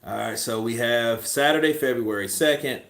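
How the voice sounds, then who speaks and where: A man hosting a boxing livestream, chatting casually in a rambling, profane, animated way. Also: medium pitch, moderate pace, vocal fry, echoey room.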